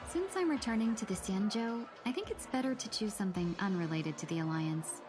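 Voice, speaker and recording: An actress voices an elegant young fox-woman merchant for a game. Her mid-pitched voice is courteous and measured, with a sly, teasing edge. Clear music plays behind her. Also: expressive, close to an everyday voice. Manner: formal, courteous, measured, gently playful. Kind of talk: scripted game dialogue.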